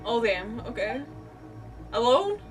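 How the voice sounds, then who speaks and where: A woman's voice: a little high, big pitch swings, takes it slowly. A woman game streamer giving casual, reactive live commentary in short interjections. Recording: distant mic, game music behind.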